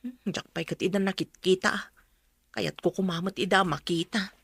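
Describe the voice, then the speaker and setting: Pleading Ilocano mother, bright voice. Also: fairly low pitch, fairly even intonation, moderate pace, creaky, breathy edge, no echo, clean studio sound, full character voice. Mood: regretful, weary. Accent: Filipino, Ilocano. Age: adult. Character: Cora, weary regretful mother who left her children. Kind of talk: Ilocano radio drama acting.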